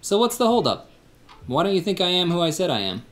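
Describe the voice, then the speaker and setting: A man acting in a church radio play, calm and gently teasing. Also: sits high, fast.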